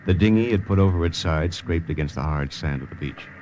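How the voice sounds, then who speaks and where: An actor playing a steadfast American intelligence lieutenant in old radio drama style, measured and stoic, with an American accent. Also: male voice, low voice, noisy background, close to an everyday voice. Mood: reflective. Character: steadfast American male OSS lieutenant, resolute and self-sacrificing. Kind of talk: 1940s-50s radio acting, first-person narration and dialogue. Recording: old-time radio recording with music behind.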